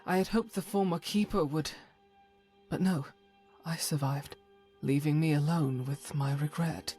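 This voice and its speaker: A woman voicing a devout, weary female warrior of a holy order in a fantasy game, earnest and solemn, with a husky, breathy voice and halting formal phrasing. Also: low-pitched, mellow, faint music behind. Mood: uneasy, regretful. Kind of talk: voice acting for fantasy game companion.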